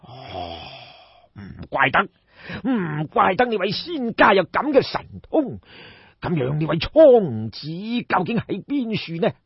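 A man's voice: high-pitched, huge pitch swings, slow, gravelly, husky, exaggerated voice. A man voicing an aging, ailing, credulous king of ancient China in an audiobook, sighing and marvelling. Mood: astonished, curious. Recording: dull, roomy audiobook recording.

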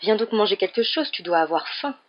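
A fierce little mother from a storybook, acted out by a woman narrator. She scolds and fusses with loud emphasis, her pitch lifted. The recording is slightly muffled. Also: animated, brisk, close to an everyday voice. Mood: fussing, motherly. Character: short plump mother, fierce, scolding, fussing over children.

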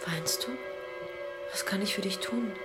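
An actress in a German radio play voicing an earnest young daughter, gently insistent as she leaves home. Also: medium pitch, measured pace, over music, close to an everyday voice.

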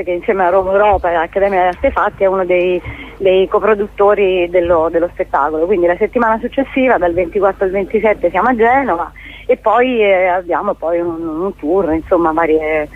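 Tinny phone-line woman, hesitant and searching. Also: medium pitch. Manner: hesitant, searching for words, repeats words and trails off. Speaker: theatre performer and guest. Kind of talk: spontaneous radio interview. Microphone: telephone call to a radio station.

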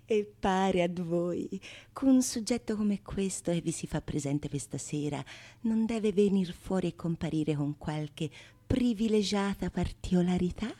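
A woman's voice: fairly low pitch, a little slow. An actress playing a mischievous prologue narrator in a theatrical monologue, teasing and declaiming to her audience. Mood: teasing, mocking. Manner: teasing, declamatory, swinging from whispers to shouts. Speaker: stage actress. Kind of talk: theatrical monologue in archaic literary Italian.

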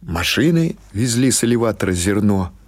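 A man reading literary fiction as an audiobook narrator, in a measured, expressive storytelling manner. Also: on the low side.

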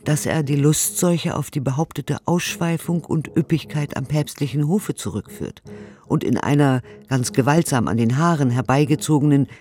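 A man's voice: fairly high pitch, a little mellow, close to an everyday voice. A male actor playing a disapproving, pompous and pious bourgeois critic, reading out his verdict in a radio play.

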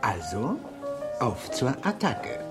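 An actor in a German radio play playing a suave old French marquis, courtly and faintly mocking. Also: male voice, mid-range voice, wildly swooping pitch, slow. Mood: urbane, mocking. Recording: studio radio play with music behind.

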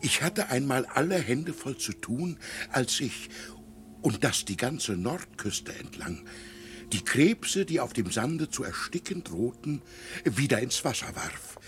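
A man playing a mild, reflective village pastor in a literary radio drama, speaking in a measured, formal way. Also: mid-range voice, sing-song, a little hiss or hum.

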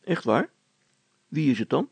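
A man's voice: mid-range voice, very animated, a little slow, creaky, a little mellow, slightly rough, no room sound, voice pushed up, exaggerated voice. A man voicing a good-natured, sometimes grumbling village fellow, folksy and plain-spoken, in storybook dialogue. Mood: impressed.

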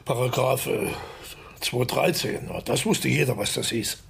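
An actor playing a talkative, theatrical older stage actor with a husky, creaky voice, in a comic crime radio play. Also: male voice, mid-range voice, measured pace, full character voice. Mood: wary. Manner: anecdotal, declaiming quotations.

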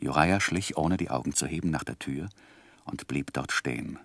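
An actor playing a composed first-person narrator in a literary radio drama, measured and articulate. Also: male voice, a bass voice, gravelly, a bit bright, no echo, full character voice. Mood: reflective. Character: composed male narrator recounting his own life story.

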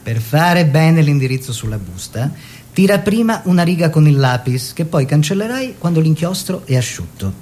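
Guy acting an earnest painter in a radio play, very animated pitch, declaims in measured phrases. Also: natural voice. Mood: playful, instructive. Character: earnest male painter, lover and teacher of his model. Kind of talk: theatrical radio drama dialogue.

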